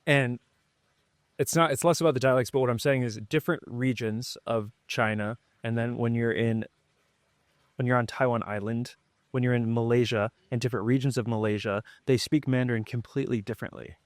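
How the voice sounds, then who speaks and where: A man hosting a podcast, giving relaxed, rambling advice in casual conversation. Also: medium pitch, a little fast, no room sound. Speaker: podcast host.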